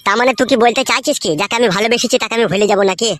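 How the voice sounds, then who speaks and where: Man doing a rapid-fire, high-pitched hot-headed cartoon guy. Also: fairly bright tone, no echo. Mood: obstinate. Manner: foul-mouthed, belligerent. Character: stubborn, lovesick, hot-headed married young man. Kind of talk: crude comedy cartoon dialogue.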